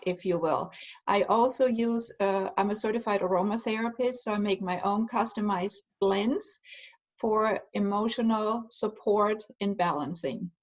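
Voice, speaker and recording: A woman's voice: mid-range voice, rough recording. Woman, interview guest, earnest, explains her work, fillers. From Germany. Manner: earnest, with frequent fillers and restarts. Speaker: guest, energy healer and aromatherapist. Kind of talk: conversational interview answers.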